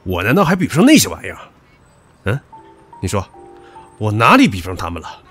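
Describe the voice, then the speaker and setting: An actor playing a brash, hot-tempered young subordinate who taunts crudely in an audio drama. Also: male voice, on the low side, wildly swooping pitch, a little fast, a bit bright, husky, soft background music, exaggerated voice. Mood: furious. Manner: mocking, crude, provocative. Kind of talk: modern audio drama acting.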